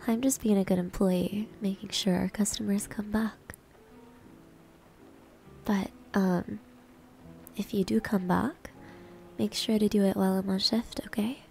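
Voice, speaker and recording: A woman acts out a proud tsundere maid in an ASMR roleplay. Her medium-pitched voice is soft, breathy and creaky, often dropping to a whisper. She sounds coy, grudging and flustered. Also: fairly even intonation, measured pace, long pauses, faint music behind. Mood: coy, insistent.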